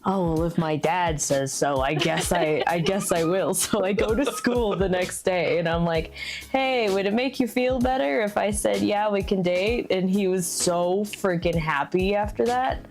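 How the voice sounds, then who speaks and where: A woman, the host's wife, telling a story in a casual chat, giggling and animated as she goes. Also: on the low side, a little slow, over music. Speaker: streamer's wife, guest.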